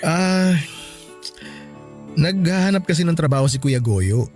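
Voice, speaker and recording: An actor playing a meek, apologetic young Filipino husband in a radio drama, hesitant and pleading. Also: male voice, fairly high pitch, lively intonation, a little slow, darkish tone, soft background music. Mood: hesitant, coaxing. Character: meek, apologetic young husband, soft-hearted toward his brother.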